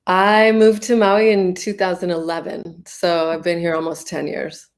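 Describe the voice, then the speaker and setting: A woman's voice: fairly low pitch, little pitch movement, normal speed. A Canadian woman in her thirties, guest in a casual interview, easygoing and laughing often.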